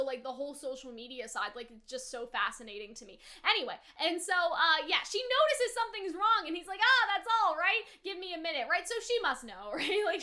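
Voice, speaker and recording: A woman giving a casual, rambling anime reaction in a chatty, animated, slangy way, often wandering off on tangents. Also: high voice.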